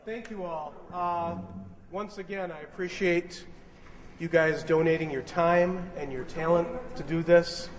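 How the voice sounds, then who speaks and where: A man's voice: fairly high pitch, steady tone, unhurried. A man casually giving announcements and joking as he leads a rehearsal, recorded in a noisy, echoey room. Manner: informal, joking. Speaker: director leading a radio play rehearsal.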